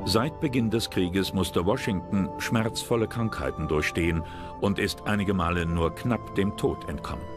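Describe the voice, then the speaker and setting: A man giving measured, serious scripted narration for a history documentary. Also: low-pitched. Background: music.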